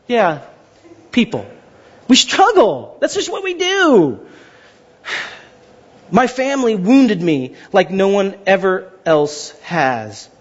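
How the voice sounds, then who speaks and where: A man's voice: very high voice, highs cut off. A man preaching as a pastor in an informal, conversational way, talking directly to his congregation. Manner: informal, animated, rhetorical check-ins to listeners. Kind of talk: conversational sermon with scripture reading.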